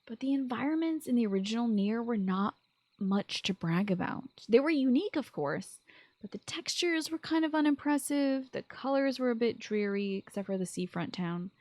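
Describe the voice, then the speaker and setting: A woman's voice: mid-range voice, darkish tone, dry recording. Woman podcast host, close dry recording. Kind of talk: scripted solo podcast commentary on video games.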